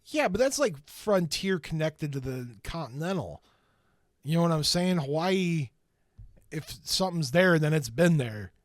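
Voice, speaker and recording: A man's voice: a little high, sing-song, measured pace, dry recording, studio quality. A man chatting as a podcast guest, rambling and joking, often laughing. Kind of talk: casual chat.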